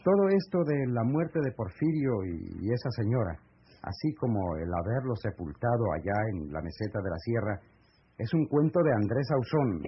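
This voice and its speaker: An actor with a Mexican accent playing an earnest, courteous man in a radio serial, speaking formally and deliberately. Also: medium pitch, big pitch swings, slightly rough. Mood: grave, explanatory. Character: earnest, courteous man patiently breaking grave news. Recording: muffled, lo-fi old AM radio recording.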